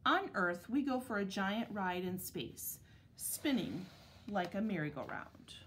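A woman reads a children's picture book aloud as a schoolteacher would. Her pace is slow and her phrasing is deliberate, with a mid-range pitch. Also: expressive, fairly bright tone, a little hiss or hum. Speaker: elementary school teacher reading aloud.

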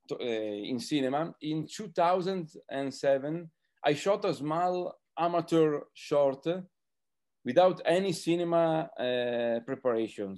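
A man's voice: fairly high pitch, a little mellow. A man in his thirties, a native Italian speaker with an Italian accent, talking hesitantly as a panelist on a video call and searching for words. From Italy. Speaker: film director speaking on a panel. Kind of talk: roundtable talk in a second language. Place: small book-lined home room, indoors. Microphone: computer on a video call.

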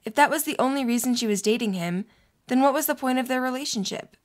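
A woman's voice: high-pitched, fast. A woman reading scripted narration for a story.

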